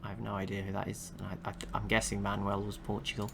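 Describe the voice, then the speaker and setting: A young man giving relaxed, chatty commentary over a strategy game he is watching, laughing to himself now and then. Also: lowish voice, quick pace, gravelly, noisy background. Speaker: gaming channel host.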